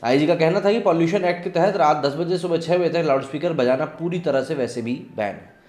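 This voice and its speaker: A man speaks in a reverberant room with a somewhat high-pitched voice. He reports and comments on news like an online host, addressing listeners directly in an earnest, explanatory way. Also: darkish tone.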